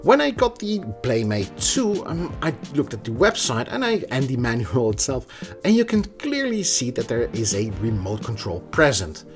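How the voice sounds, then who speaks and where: A man's voice: medium pitch, wildly swooping pitch, moderate pace, soft background music. A man giving a casual, opinionated tech review in a conversational manner. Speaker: product reviewer.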